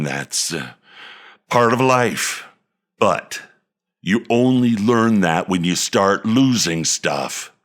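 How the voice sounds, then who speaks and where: Man doing a gruff old football coach, deep gravelly voice, pounding locker-room speech. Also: a bit bright, heavily acted. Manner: building locker-room pep talk with heavy emphasis. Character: aging male football coach, worn down and fierce. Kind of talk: dramatic monologue read.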